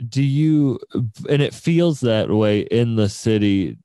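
Mellow-voiced man hosting a casual chat. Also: medium pitch, lively intonation, slow pace, smooth, dry recording, studio quality. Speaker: podcast host interviewing a musician. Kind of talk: casual conversational interview.

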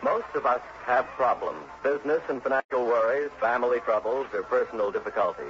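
A man's voice: medium pitch, a little fast, noisy background. An American man reading a scripted public service announcement in 1960s radio style. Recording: dull old radio broadcast, faint music.

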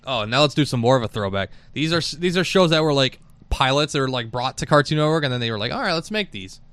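A man's voice: mid-range voice, animated, quick pace, fairly bright tone, smooth, no room sound. A man co-hosting a podcast in casual chat, laughing often and swearing freely. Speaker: podcast co-host.

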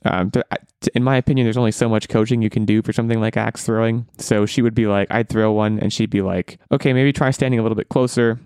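A man hosting a podcast in casual chat, rambling and self-deprecating, his voice going hoarse. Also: lowish voice, steady tone, fast talker, few pauses, darkish tone, no room sound, clean studio sound. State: losing his voice. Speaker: podcast host.

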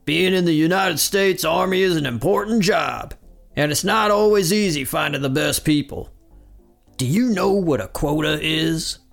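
A man voicing a gruff, hot-tempered army recruiting sergeant in a barking, commanding manner, as in a cartoon retelling. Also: a little high, normal speed, a bit bright, faint music behind, pitched above the performer's own voice. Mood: persuasive.

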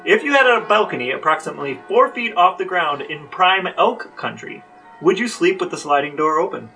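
A man with a bright, forceful, slightly high voice co-hosts a casual podcast. He explains and asks questions in a conversational way, a little away from the mic with faint music behind. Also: lively intonation, a little fast, distant mic. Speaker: podcast co-host, interviewer.

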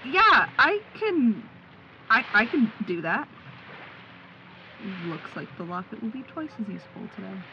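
A woman acting a steady, helpful young friend in an audio drama, with casual, natural delivery. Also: a little high, a little slow, pauses often. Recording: dull lo-fi audio, faint vehicle noise.

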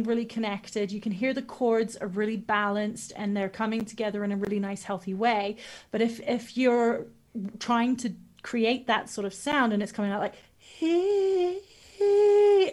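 A woman's voice: on the high side, darkish tone. A woman vocal coach answering interview questions casually, explaining with everyday comparisons and demonstrating sounds.

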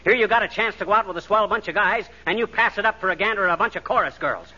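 An actor playing a boastful, blustery, pushy American husband with slangy exaggerations in a 1940s radio comedy. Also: male voice, sits high, quick pace, bright, forceful tone, breathy edge, heavily acted. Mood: exasperated. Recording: noisy, muffled 1940s radio recording.

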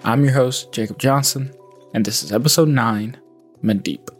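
A man's voice: medium pitch, moderate pace, breathy edge. Reflective male podcast host. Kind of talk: scripted narration and interview questions. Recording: faint music bed behind.

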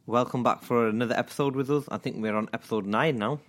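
A man's voice: mid-range voice, fast talker. A man with a Manchester accent, co-hosting a podcast in casual chat and then reading a true-crime story, with a hesitant manner full of ums and restarts. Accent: Mancunian. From England. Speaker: podcast co-host.

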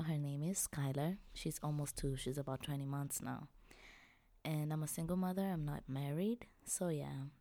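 A woman's voice: low voice, warm tone, dry recording. A young woman, hosting a podcast and telling her own story in a reflective, personal way. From Tanzania. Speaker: podcast host.

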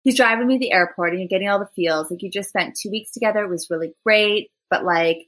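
A woman's voice: medium pitch, fast talker, fairly bright tone. A woman animatedly and enthusiastically telling a couple's story in casual chat.

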